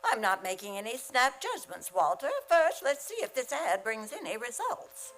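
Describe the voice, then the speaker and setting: A woman playing a lonely, quick-witted schoolteacher with dry, wisecracking delivery in an old-time radio comedy. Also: a little high, very animated, a bit bright. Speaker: actor in a community radio play.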